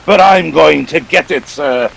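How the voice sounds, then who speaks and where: A man's voice: on the high side, measured pace, creaky, full character voice. A man playing a suave, ruthless treasure-hunting villain in a radio play, with pompous, courtly speech and sly chuckles. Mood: smug, eager. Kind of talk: 1940s radio play acting.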